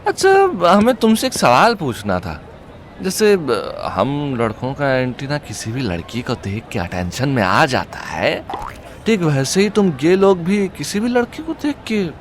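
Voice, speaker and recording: A man's voice: a little high, huge pitch swings, a little slow, slight background noise. An actor playing a crude, joking young male sidekick in an audio drama, teasing and exclamatory. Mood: cheeky, nosy. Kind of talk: Hindi audio comedy-horror drama acting.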